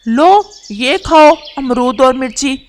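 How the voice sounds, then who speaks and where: Lady doing a sneaky cartoon owl guy, highish n slow, mocking, scheming. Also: expressive. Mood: flattering. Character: sly, flattering, vengeful male owl.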